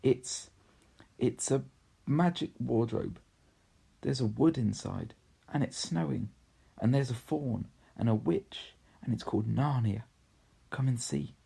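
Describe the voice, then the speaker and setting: Man voicing an earnest little girl, pitched up. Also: slow pace, vocal fry, pauses often, dark tone, slightly rough, exaggerated voice. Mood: excited. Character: earnest, excitable little girl pleading to be believed.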